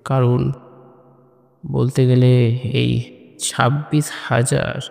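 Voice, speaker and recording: A man narrating and hosting scripted audio horror stories in a measured way, pausing between short phrases. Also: mid-range voice, creaky, darkish tone. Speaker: host and narrator of an audio story channel. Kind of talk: scripted horror story narration and channel introduction. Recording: long reverb on the voice.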